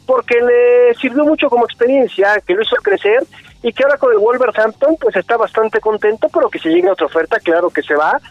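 A man's voice: very high voice, brisk, dry recording, highs cut off, soft background music. A Mexican man in his forties, chatting casually about sports on a radio show, rambling a bit with restarts. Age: 40s. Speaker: sports commentator.

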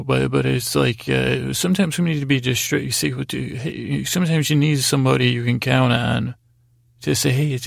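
Gravelly, creaky man rambling slowly off-track. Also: medium pitch, no room sound, clean studio sound. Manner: halting, repetitive, folksy, digressive. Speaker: podcast host. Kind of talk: rambling, meandering bedtime monologue.

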